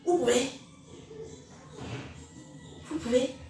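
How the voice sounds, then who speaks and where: High-voiced woman ranting in an echoey room. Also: extremely slow, darkish tone, faint music behind. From Cameroon. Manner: scornful, preachy, addresses listeners directly. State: has a head cold. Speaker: social media live streamer. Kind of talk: impassioned live-stream rant. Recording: very echoey room, far from mic.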